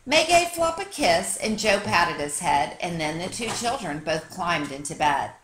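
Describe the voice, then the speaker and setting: A woman reading a children's picture book aloud like a teacher, with expressive, dramatic storytelling for young listeners. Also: lowish voice, a little slow, a little hiss or hum, poor audio.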